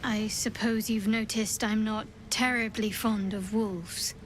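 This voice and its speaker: An actress playing a reserved young cleric who speaks in a dry, wry way. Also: female voice, mid-range voice, steady tone, unhurried, a little hiss or hum, close to an everyday voice. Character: guarded young female cleric companion.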